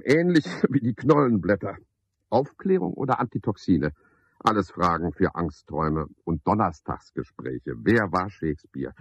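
A garrulous, pompous porter from a radio play, scheming and full of himself. His voice is husky and swings widely in pitch as he piles up wordy, clipped phrases. The sound is that of a dull seventies radio recording. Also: no echo, exaggerated voice. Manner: wordy, self-important, rambling in clipped phrases. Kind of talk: radio play monologue, theatrical.

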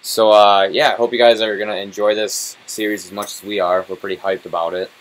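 A man's voice: fairly low pitch, little pitch movement, fairly quick, rough recording. A young man hosting a let's play, chatting casually and swearing as he plays. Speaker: let's play host and player. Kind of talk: casual gaming commentary.

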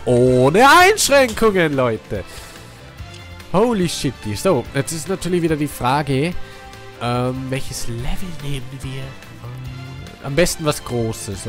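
Young guy w a stuffy nose, lively up-and-down voice, game music going behind him. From Austria. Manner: excitable, swings between hyped shouts and low mumbling. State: congested from a cold. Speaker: gaming channel host. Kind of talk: casual let's play commentary.

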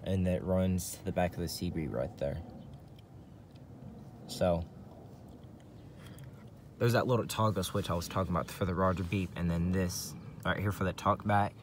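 A man's voice: low-pitched, moderate pace, long pauses. A man giving a casual hands-on walkthrough in a rambling, hesitant way, often repeating his phrases. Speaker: vlogger showing a CB radio setup in a truck. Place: in and around a parked truck. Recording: noisy handheld recording with vehicle ambience.

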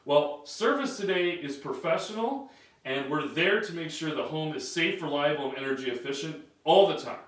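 Man in his thirties, emphatic trainer, somewhat high voice, echoey room, camera mic a few feet off. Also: animated, normal speed, fairly bright tone, distant mic. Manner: emphatic, direct, gestures while standing. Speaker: sales and service trainer. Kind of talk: training talk at a whiteboard. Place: small carpeted room, indoors. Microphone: camera a few feet away.

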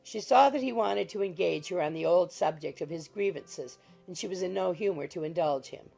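A woman narrates a literary audiobook from a script. Her voice is mellow and soft-edged, with heavy creak running through it. Quiet music plays underneath. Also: lowish voice, normal speed, poor audio. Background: faint music.

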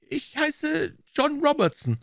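A man voicing a timid, shifty little man in a story reading, with an unsteady, whimpering, nervous high voice. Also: expressive, moderate pace, creaky, fairly bright tone, no room sound, clean studio sound, full character voice. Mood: hesitant.

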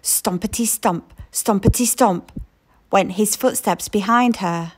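A woman reading a children's picture book aloud in an expressive storytelling way. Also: medium pitch, breathy edge. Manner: expressive storytelling, slow and lilting.

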